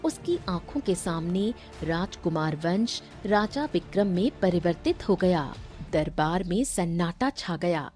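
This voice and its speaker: Slow, mellow woman story narrator. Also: lowish voice, lively intonation, faint music behind.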